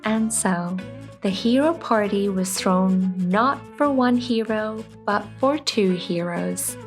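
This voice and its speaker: A woman's voice: medium pitch, slow. A woman narrating a scripted children's bedtime story as the storyteller. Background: soft music.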